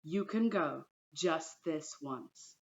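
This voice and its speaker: A woman's voice: sits low, expressive, takes it slowly, muffled recording, full character voice. A woman reading aloud the part of a stern, resigned, poor father in a children's storybook. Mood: reluctant. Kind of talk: storybook read-aloud character voice.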